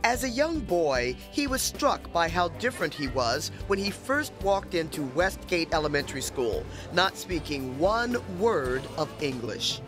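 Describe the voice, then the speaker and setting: A woman host reading scripted narration for a local news feature and putting questions to viewers in a measured way. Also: lowish voice, very animated, music in the background.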